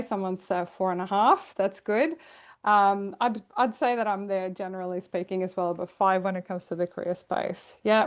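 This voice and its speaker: A woman's voice: medium pitch, a little mellow. A middle-aged woman from Sweden, a career coach, hosting an online workshop over a video call in an upbeat, chatty and welcoming way with light laughs. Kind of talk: live online workshop presentation.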